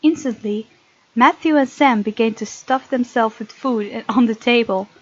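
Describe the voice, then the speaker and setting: A woman with a fairly high voice reads a visual novel aloud, adding casual, expressive commentary. The sound is dry and a little muffled, like a modest home microphone. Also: normal speed, dry recording. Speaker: gaming video host.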